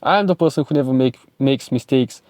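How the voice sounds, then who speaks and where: Emphatic Bulgarian-accented man, mellow tone. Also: mid-range voice, brisk, no room sound, clean studio sound. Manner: emphatic, repetitive, pushy. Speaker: self-improvement vlogger and speaking coach. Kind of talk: motivational talk to camera.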